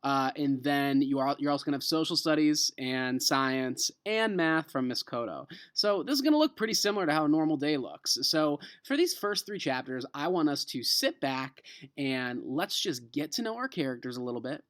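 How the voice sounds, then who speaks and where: A man with an American accent, an elementary school teacher reading a children's novel aloud and explaining it to a class in an expressive, emphatic way. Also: on the high side, moderate pace.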